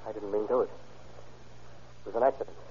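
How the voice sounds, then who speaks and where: An actor playing a nervous hit-and-run driver who stammers and pleads under questioning, in 1930s radio drama style. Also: male voice, on the low side, steady tone, brisk, dark tone, slight background noise, natural voice. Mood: fearful. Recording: dull lo-fi 1930s radio recording.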